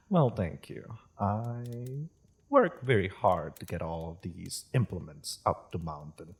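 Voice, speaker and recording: A refined, gentle dragon played by a man welcomes a guest to tea in an audio roleplay. The voice is very low and husky, unhurried and polite, with expressive rises and falls. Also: full character voice. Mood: pleased, chatty. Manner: polite, refined, hesitant, softly chuckling host. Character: courteous, gentle dragon hosting tea in its cave. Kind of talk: roleplay audio drama, addressing the listener.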